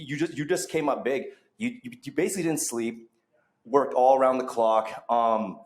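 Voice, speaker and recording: A man hosting a podcast in casual chat, rambling with many fillers and restarts. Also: medium pitch. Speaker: podcast host. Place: bar under construction. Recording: echoey room.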